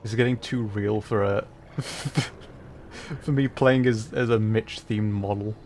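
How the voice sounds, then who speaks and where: A man's voice: lowish voice, steady tone, a little slow, darkish tone, a little hiss or hum. A man streaming a puzzle game as a VTuber, giving chatty, self-deprecating commentary and laughing often. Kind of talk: casual gameplay commentary.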